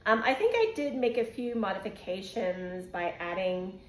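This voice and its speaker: A woman's voice: medium pitch, lively intonation, moderate pace, a little hiss or hum. Chatty Canadian woman in a roomy home. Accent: Canadian English. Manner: chatty, laughs often, many ums. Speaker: knitting podcast host. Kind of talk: casual chat. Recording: roomy echo.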